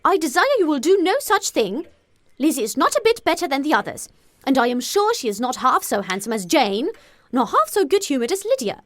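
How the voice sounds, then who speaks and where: An excitable, gossipy middle-aged British wife in a classic-novel audiobook. Her voice sits very high and swoops freely as she gushes, nags and frets. The sound is dry and close. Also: a little fast, no room sound. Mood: vexed, fretful. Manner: exclamatory, gushing, fretful. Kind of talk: audiobook character acting.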